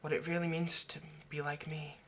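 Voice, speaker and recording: A man's voice: fairly high pitch, level tone, moderate pace, a little hiss or hum, close to an everyday voice. A man playing an earnest, lovestruck vampire in an intimate roleplay monologue, speaking hesitantly and persuasively. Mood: tender, grave. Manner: hesitant, stammering, gently persuasive. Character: earnest, lovestruck male vampire coaxing a partner.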